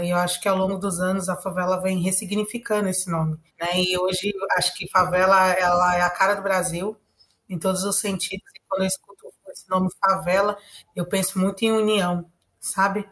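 Uma mulher brasileira de trinta e poucos anos, convidada de podcast e organizadora comunitária, falando de forma reflexiva e recomeçando frases. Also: female voice, medium pitch, fairly bright tone. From Brazil. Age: 30s. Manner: thoughtful, restarts phrases. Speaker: guest, community organizer. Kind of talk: podcast conversation.

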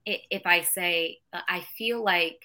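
A woman talking in a casual conversation, passionate and emphatic about everything. Her voice is bright and forceful yet sits fairly low. She speaks as an educator sharing her own experience. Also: measured pace.

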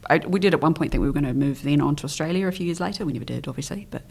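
Guest: young woman, low voice, chatty, hesitant with ums, from the Isle of Man. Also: very fast, creaky, a little mellow, slightly rough. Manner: chatty, hesitant, with frequent ums and trailing phrases. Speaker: interview guest. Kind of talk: casual conversational interview.

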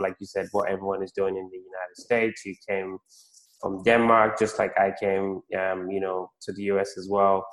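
Low-pitched man hosting a podcast. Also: rough recording. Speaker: podcast host. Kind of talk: podcast interview and scripted promo.

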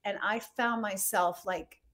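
A woman from Rhode Island, a fashion designer guest, talking in a relaxed, chatty way full of fillers. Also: medium pitch, slow pace. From United States, Rhode Island. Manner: chatty, relaxed, many fillers like "like" and "you know". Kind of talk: casual interview chat.

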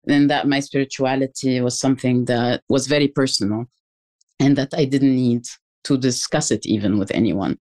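Woman from tunisia in her 40s, musician, slow careful answers, lots of restarts, dry close mic. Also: a bass voice, fairly even intonation, darkish tone, dry recording. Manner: thoughtful, halting, many restarts and fillers. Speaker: musician guest. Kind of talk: reflective interview answers.

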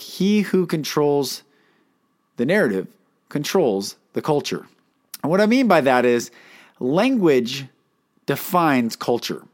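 Earnest man who can't roll R's. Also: fairly high pitch. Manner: earnest, emphatic, asks listeners rhetorical questions; cannot roll his R's. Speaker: podcast host and life coach. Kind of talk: solo monologue to listeners.

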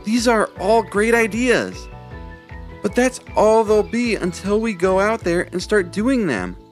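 A man voicing an enthusiastic young girl in a storybook reading, exclaiming with excitement. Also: high-pitched, a little slow, soft background music, pitched above the performer's own voice. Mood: excited.

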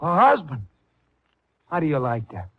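A man's voice: a little high, wildly swooping pitch, unhurried, vocal fry, fairly bright tone, full character voice. An actor playing a young, defiant, wisecracking Brooklyn man in an old-time radio drama, mouthy and slangy. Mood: grumbling, wisecracking. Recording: muffled vintage 1950s radio broadcast.

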